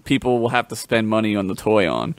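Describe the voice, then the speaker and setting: A man's voice: lowish voice, a little fast, no room sound. A man co-hosting a comedy podcast, bantering and telling silly stories in a crude, giggly, jokey way. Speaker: podcast co-host. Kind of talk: casual comedic banter and storytelling.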